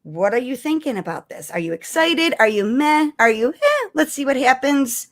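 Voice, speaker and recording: A woman's voice: high voice, sing-song, moderate pace. Casual woman hosting a live chat. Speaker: live stream host.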